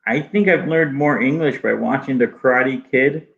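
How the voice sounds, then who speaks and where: A man whose first language is Arabic, speaking haltingly with many restarts as a guest in a casual interview. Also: mid-range voice, gravelly, muffled recording. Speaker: guest artist.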